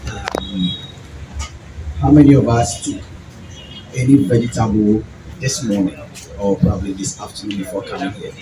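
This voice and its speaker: A man with a deep voice and a Ghanaian accent gives a public talk, deliberately, in short phrases and questions to the audience. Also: fairly even intonation. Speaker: guest speaker, farming group leader. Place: event venue with audience. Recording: distant, echoey hall with audience noise.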